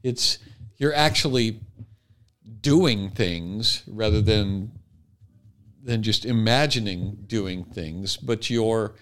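Halting, thoughtful man, lowish voice. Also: a bit bright. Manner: halting, thoughtful, repeats phrases. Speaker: podcast co-host. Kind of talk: casual reflective discussion.

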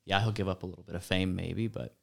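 A man's voice: deep voice, steady tone, fast, vocal fry, fairly bright tone. A man on a podcast, giving casual conversational commentary. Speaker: podcast participant.

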